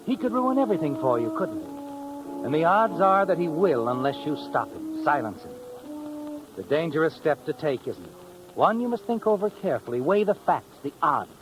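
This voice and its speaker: An actor playing the ominous, all-knowing narrator of a 1950s radio crime tale, dramatic and insinuating. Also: male voice, medium pitch, lightly acted, rough recording. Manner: insinuating, dramatic, with leading rhetorical questions. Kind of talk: 1950s radio suspense narration in second person. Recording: old radio recording with music underneath.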